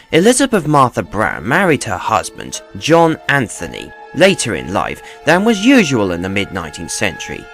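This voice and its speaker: A man narrating a true tale as scripted, dramatic storytelling in a measured, grave manner. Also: medium pitch, fairly bright tone.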